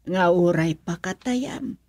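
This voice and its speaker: Woman acting protective mother, lowish husky voice, swooping intonation, plaintive, cautioning, radio drama. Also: animated, takes it slowly, darkish tone, no echo. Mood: worried. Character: worried, protective poor mother of a grown son.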